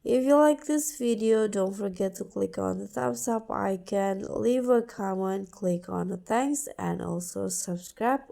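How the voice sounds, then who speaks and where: A woman's voice: medium pitch, a little slow, vocal fry, hardly any pauses, warm tone. A woman hosting a tutorial, narrating an app walkthrough step by step. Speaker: tutorial host.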